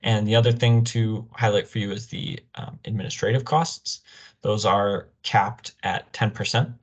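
Young male presenter for an online orientation, low-pitched, explanatory delivery on a video call. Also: steady tone, moderate pace, breathy edge, muffled recording. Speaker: program officer presenting a grant orientation. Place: indoor room, on a video call. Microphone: video call microphone.

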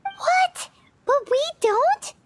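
A woman voicing a tiny, childlike, chirpy fairy companion in a video game, with a squeaky voice. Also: lively intonation, extremely slow, noisy background, exaggerated voice. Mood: baffled.

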